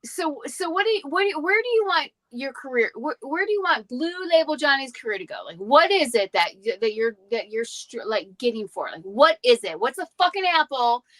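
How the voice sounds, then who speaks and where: A woman's voice: sits high, very animated, fairly quick, few pauses, a bit bright, no echo. A woman co-hosting a podcast in casual chat, gushing and slangy, with plenty of swearing. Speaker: podcast co-host.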